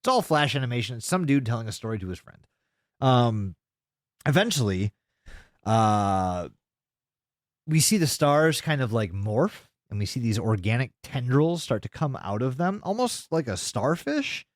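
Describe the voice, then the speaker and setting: A man's voice: medium pitch, big pitch swings, moderate pace, no echo, clean studio sound. A man co-hosting a podcast, casually retelling a story in a rambling, sweary way. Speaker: podcast co-host.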